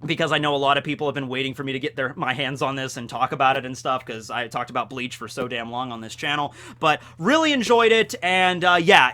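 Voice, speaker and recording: A man hosting a video, talking to viewers in a casual, slangy, animated way like a fan giving commentary. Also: a little high. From United States. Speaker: video host reviewing a light novel.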